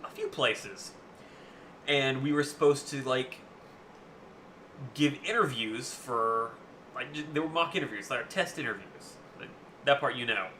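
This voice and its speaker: A young man with a booming voice, rambling through a casual story as a streamer, swearing freely with lots of fillers. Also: pushed and bright, slight background noise.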